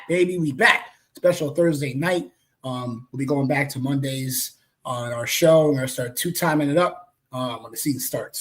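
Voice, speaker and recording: A young man with a raspy voice, co-hosting a casual sports chat in a hyped, slangy way. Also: medium pitch, a bit bright. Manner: hyped, slangy, quick asides. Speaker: co-host.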